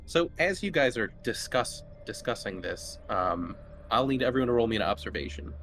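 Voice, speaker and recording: A man running a tabletop horror game, narrating casually and haltingly as he feels out each description. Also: mid-range voice, sing-song, a bit bright, slight background noise. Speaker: game master.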